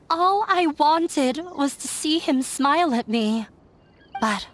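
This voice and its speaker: An actress voicing a young woman who ran away from a demanding father, speaking in a quiet, resigned way. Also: a little high, lightly acted. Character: young woman, runaway daughter, hurt and weary. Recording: game audio with faint music.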